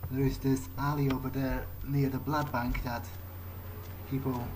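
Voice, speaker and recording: A man's voice: mid-range voice, level tone, unhurried, a little hiss or hum, poor audio. A man playing a game in character, thinking aloud in a hesitant, musing way. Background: loud music.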